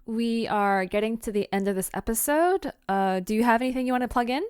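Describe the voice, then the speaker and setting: A woman's voice: a little high, fairly quick, darkish tone, clear, resonant tone. A woman hosting a podcast in a casual, easygoing chat. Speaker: podcast host.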